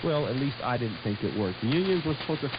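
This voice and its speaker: Rambling male pirate-radio host, mid-pitched, casual, stumbling, heard through hissy shortwave. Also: sing-song, quick pace, highs cut off. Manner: rambling, hesitant with frequent stumbles. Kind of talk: casual talk show banter.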